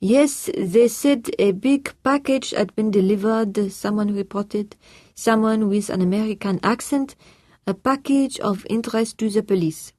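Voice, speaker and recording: A woman voicing a dutiful older French housekeeper who speaks formal, halting English with a French accent. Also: medium pitch, a little mellow, no echo, pitched above the performer's own voice, natural voice.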